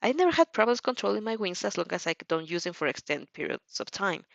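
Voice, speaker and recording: A woman playing a mellow, polite young student hero with dry confidence in an audio story. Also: mid-range voice, big pitch swings, quick pace, creaky, no echo, muffled recording. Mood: cockily confident.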